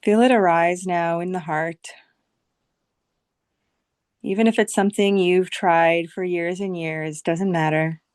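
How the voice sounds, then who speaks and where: A woman leading a guided visualization meditation as a teacher, speaking soothingly in short phrases with long, unhurried pauses. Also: fairly low pitch, steady tone, a little mellow, smooth, dry recording, clean studio sound.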